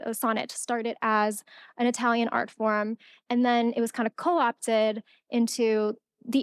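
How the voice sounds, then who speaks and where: A woman's voice: on the high side, clear, resonant tone, no room sound, studio quality. A young woman poet reading her poem aloud and then giving a casual talk with many fillers at a college event.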